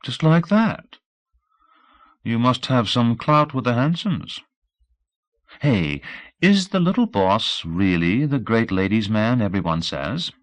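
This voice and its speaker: A brassy, teasing woman fixer, as a male narrator puts her on, pitched up from his usual talk. She is breezy and slangy, flirting and scheming in a storybook performance. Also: big pitch swings, slow, long pauses. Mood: brisk, scheming.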